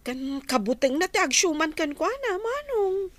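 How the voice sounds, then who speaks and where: A woman's voice: very high voice, sing-song, moderate pace, no echo. A woman with a Filipino Ilocano accent playing a concerned, inquisitive restaurant worker in an audio drama. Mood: worried, confiding.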